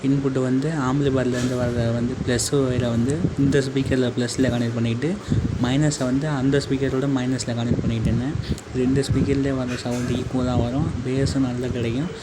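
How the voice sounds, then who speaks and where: A man's voice: medium pitch, little pitch movement, brisk. A man narrating a casual how-to tutorial as an informal channel host, speaking directly to viewers. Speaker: host of a DIY electronics channel. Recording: clearly noisy amateur recording.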